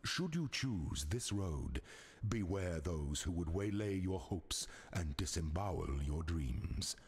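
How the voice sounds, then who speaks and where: Husky, slow, solemn game sage. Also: male voice, low-pitched, animated, a little mellow, heavily acted. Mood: solemn warning. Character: solemn male sage warning of a treacherous path. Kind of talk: scripted dramatic game narration.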